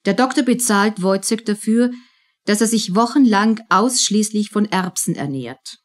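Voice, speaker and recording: Female narrator for a radio play, medium pitch, scripted storytelling delivery. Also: measured pace.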